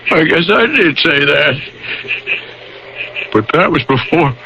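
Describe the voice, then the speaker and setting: An actor playing an older husband in a radio drama, dazed and haunted, speaking in hesitant broken phrases. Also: male voice, fairly high pitch, expressive, takes it slowly. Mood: frightened, confused. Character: older husband, dazed and gripped by unnamed terror. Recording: muffled, noisy old radio broadcast.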